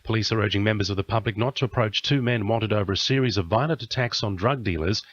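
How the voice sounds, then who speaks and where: A man's voice: on the low side, quick pace, dry recording. A man with an Australian accent reading a scripted radio news bulletin. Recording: dull lo-fi radio audio.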